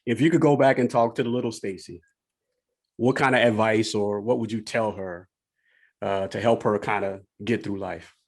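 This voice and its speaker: Gravelly, husky man interviewing casually. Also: on the low side, fairly even intonation, normal speed. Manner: encouraging, affirming, repeats phrases. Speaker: podcast host, interviewer.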